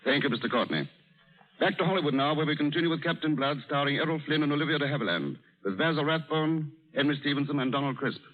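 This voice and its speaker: A man's voice: fairly high pitch, fast talker, noisy background. A man hosting and narrating a radio play in a formal 1930s announcing style. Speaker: host and narrator. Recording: tinny vintage radio, loud music behind.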